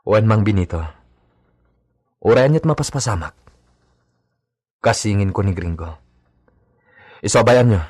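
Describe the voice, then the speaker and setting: A man's voice: fairly low pitch, expressive, measured pace, pauses often, darkish tone, breathy edge, no room sound, studio quality. A man playing a serious, concerned member of a ranching household in a radio drama.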